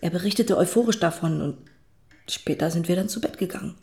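A woman's voice: on the low side, brisk, darkish tone, natural voice. An actress playing a composed gynaecologist and loyal wife defending her husband in a German radio drama. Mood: subdued.

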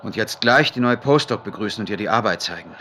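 Deep, crisp-voiced pensive male researcher. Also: animated, fast talker, sharp tone. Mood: focused, businesslike. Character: pensive male medical researcher dictating work notes. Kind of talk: audio drama monologue. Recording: clear background noise.

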